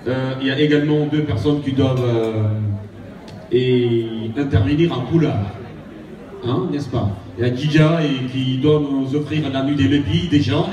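A man's voice: medium pitch, a little mellow. A man from Côte d'Ivoire acting as master of ceremonies, announcing speakers in a hesitant, chatty way. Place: large banquet hall. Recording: roomy hall, distant mic, crowd.

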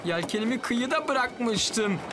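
Lively high-voiced cartoon boat owner. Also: female voice, takes it slowly, over music. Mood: worried. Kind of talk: animated children's cartoon dubbing.

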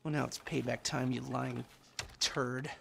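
An actor playing a sardonic middle-aged hacker who rants in quick, acerbic bursts in a game cutscene. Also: male voice, mid-range voice, flat delivery, creaky, slight background noise. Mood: ranting. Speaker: game character.

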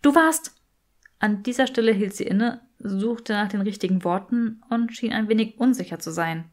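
A woman's voice: mid-range voice, fairly even intonation, normal speed, a little mellow. Woman reading an audiobook story, normal mid-range voice. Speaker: audiobook reader. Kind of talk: scripted fiction narration.

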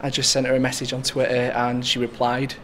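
A man's voice: medium pitch, completely flat delivery, fairly quick, a bit bright. A man in his twenties talking casually in an interview as a young writer and animator, in an indoor hall. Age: 20s. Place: large screening hall, indoors. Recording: faint room noise.

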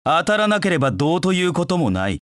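An actor voicing a proud, cold dark knight in a video game, with curt, haughty, disdainful delivery. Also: male voice, fairly high pitch, sing-song, quick pace, dry recording, studio quality. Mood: cool, disdainful. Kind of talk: video game voice acting in short battle lines.

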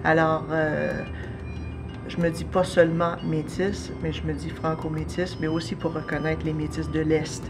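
A woman in her forties with a Canadian French accent, interviewed as an artist, speaking thoughtfully with frequent pauses and fillers. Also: low voice, flat delivery. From Ontario, Canada. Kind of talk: documentary interview. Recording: music bed under voice.

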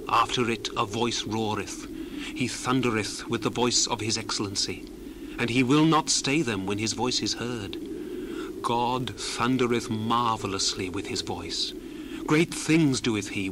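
A man's voice: medium pitch, background noise, natural voice. A man playing an earnest biblical orator in a dramatized scripture reading, speaking in a stately, emphatic, declamatory way. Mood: hushed, awed. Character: earnest biblical orator proclaiming God's greatness.

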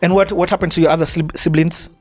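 A man's voice: fairly high pitch, steady tone, faint music behind. A man hosting an interview, asking questions and switching into Swahili. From Kenya. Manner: prompting, asks to slow down, code-switches to Swahili. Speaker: interview host. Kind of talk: casual interview.

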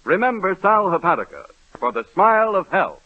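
A man reading a brisk radio commercial as a 1930s announcer. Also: high voice, big pitch swings, loud music behind the voice. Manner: brisk, smooth, salesman-like. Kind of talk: 1930s radio ad read and comedy. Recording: muffled old radio broadcast.

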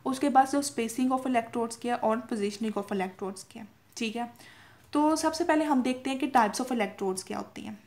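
A young woman with a fairly high voice teaches in an informal, explanatory way. She goes over each point more than once and keeps checking in with short tags. English technical terms are mixed into her speech. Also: unhurried, darkish tone. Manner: repetitive, with frequent checking tags. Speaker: medical lecturer. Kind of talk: informal explanatory lecture from notes.

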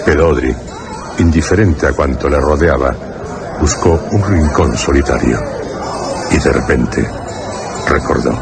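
Extremely deep Castilian male narrator. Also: over loud music, over a noisy crowd. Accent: Castilian Spanish. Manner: grave, deliberate, dramatic pauses. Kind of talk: scripted radio horror narration.

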